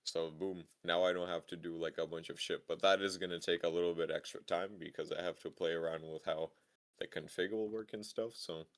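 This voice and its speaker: A man's voice: low-pitched, fairly even intonation, a little fast. A young man with a voice that sounds like a mafia boss, casually explaining code in slangy talk. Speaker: game developer. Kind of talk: casual chat.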